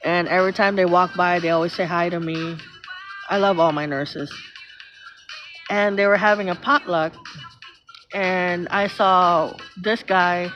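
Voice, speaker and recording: A middle-aged woman with a Filipino accent, chatting outdoors on a phone during a live stream. She rambles through long stories and thanks viewers by name. Her audio sounds a little dull and muffled, with music playing clearly underneath. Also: lowish voice, fairly even intonation, measured pace, pauses often, fairly bright tone. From Philippines. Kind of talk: casual live-stream chat. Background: background music.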